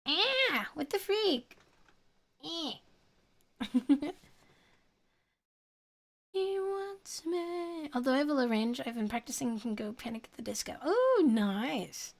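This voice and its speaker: A woman's voice: high voice, very animated, slow pace, pauses often. A young woman streamer chatting casually with her viewers and giggling often. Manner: giggly, chatty, reads chat aloud.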